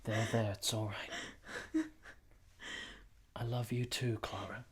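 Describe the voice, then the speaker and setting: A man playing a romantic, reflective army captain in a radio play, speaking in a measured, sincere, literary way. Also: on the low side, little pitch movement. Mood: soft, tender. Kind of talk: radio play acting, literary first-person narration.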